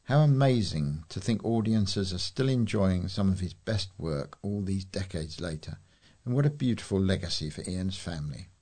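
A man's voice: deep voice, lively intonation, normal speed, warm tone. A British man reading newspaper letters and editorials aloud as a volunteer newsreader.

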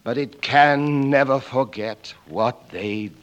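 An actor playing an earnest, loyal English gentleman's valet, polite and solemn, in 1940s radio style. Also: medium pitch, slow pace, muffled recording, close to an everyday voice. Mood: solemn recitation.

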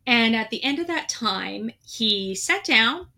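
A woman's voice: on the high side, animated, slow, pushed and bright. A middle-aged woman telling her own story in an earnest, reflective way, with a few light laughs. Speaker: guest, veteran. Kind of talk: candid personal storytelling.

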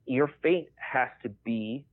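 A man's voice: mid-range voice, takes it slowly, dry recording. A man who is a podcast guest, chatting casually and explaining his points in a thoughtful way. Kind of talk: casual conversation. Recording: tinny phone-like line.

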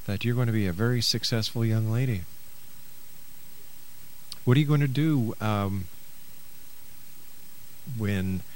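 A man's voice: on the low side, a little mellow. A middle-aged man hosting a radio show, speaking as an interviewer.

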